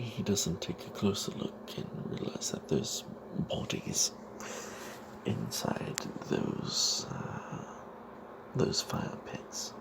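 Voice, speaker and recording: Man whispering a fantasy tale, gravelly and breathy. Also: sits low. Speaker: storyteller narrating own tabletop character. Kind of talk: whispered ASMR first-person fantasy storytelling. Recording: noticeable background noise.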